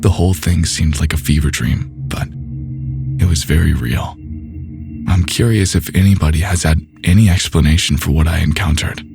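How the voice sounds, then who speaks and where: A man reading a first-person paranormal story aloud in a measured storytelling way. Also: a bass voice, creaky, music in the background. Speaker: story narrator. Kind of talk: scripted first-person narration.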